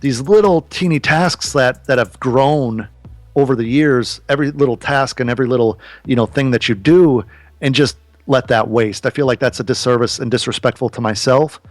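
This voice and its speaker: A man's voice: medium pitch, normal speed, no echo, faint music behind. A man in his thirties, a podcast guest, talking earnestly and casually about business, swearing now and then. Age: 30s.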